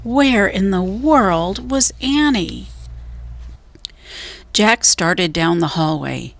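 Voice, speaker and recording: A woman narrating a children's storybook as a reader, with deliberate, suspenseful storytelling. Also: medium pitch, expressive, gravelly, dry recording.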